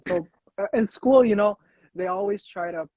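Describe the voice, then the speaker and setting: Husky American man, casual interview host. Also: high voice, normal speed, warm tone, dry recording. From United States. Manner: rambling, restarts often. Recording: dull lo-fi sound.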